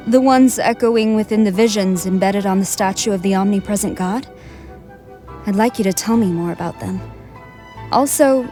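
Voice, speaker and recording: A woman's voice: mid-range voice, a little mellow, natural voice. A woman voicing a stern, dutiful young general in a video game, with a formal, composed and resolute manner. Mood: solemn. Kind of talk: scripted video game character voice lines.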